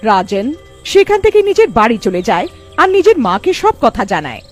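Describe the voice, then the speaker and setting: A woman's voice: a little high, wildly swooping pitch, fairly quick, darkish tone, soft background music. A woman narrating a cartoon story in a scripted storytelling style.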